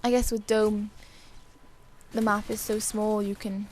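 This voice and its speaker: A woman with a dry, hoarse voice giving casual, chatty and rambling commentary over a video game. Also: medium pitch, flat delivery, unhurried, darkish tone, a little hiss or hum. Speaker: gaming video creator.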